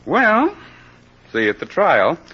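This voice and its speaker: An actor playing a brisk, wry American police captain in 1940s radio drama style, clipped and commanding, on a muffled old recording. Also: male voice, on the high side, huge pitch swings, breathy edge, full character voice. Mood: matter-of-fact.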